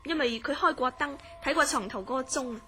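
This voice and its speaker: High-voiced earnest woman, acted telling eerie tales. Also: a little fast, bright, forceful tone, husky, full character voice. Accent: Hong Kong Cantonese. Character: earnest woman recounting a friend's eerie dreams. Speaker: radio drama actor. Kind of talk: radio drama dialogue, recounting a strange story.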